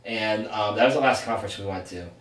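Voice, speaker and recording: A man's voice: fairly low pitch, quick pace. A man in his twenties co-hosting a podcast, chatting casually in a rambling, self-correcting way. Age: 20s. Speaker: podcast co-host. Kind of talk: casual sibling chat. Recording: echoey room, distant mic.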